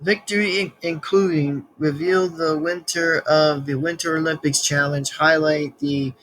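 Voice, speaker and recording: A young man in his twenties hosts a casual reaction video, talking in a slightly high voice. He rambles, circles back on himself and trips over words as he reads out loud. A faint hum of room noise sits behind him. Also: fairly even intonation, a little slow, rough recording. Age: 20s. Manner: rambling, many restarts and stumbles while reading. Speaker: reaction channel host. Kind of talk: casual reaction video, reading text aloud.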